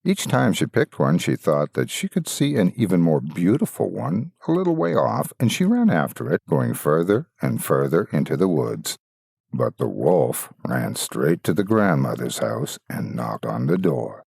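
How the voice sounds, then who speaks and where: A man narrating a fairytale from a script as a storyteller, theatrical and deliberate. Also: a bass voice, sing-song, gravelly, a little mellow, slightly rough, no echo, clean studio sound.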